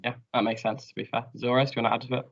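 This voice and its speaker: Fast gravelly teenage boy host. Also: medium pitch, little pitch movement, fairly bright tone. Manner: quick, gravelly, keeps things moving. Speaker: student host of the vlog. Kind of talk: casual video-call hosting. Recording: dull lo-fi laptop audio.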